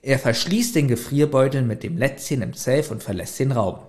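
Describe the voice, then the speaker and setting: A man's voice: mid-range voice, normal speed, a little mellow. A man co-hosting a casual podcast, reading a plot summary aloud and breaking off for chatty side comments. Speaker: podcast co-host.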